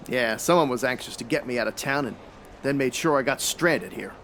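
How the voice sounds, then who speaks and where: A man's voice: medium pitch, brisk, lightly acted. A man playing a terse, frustrated private detective in a 1940s-style noir radio drama. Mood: urgent. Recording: very loud background noise.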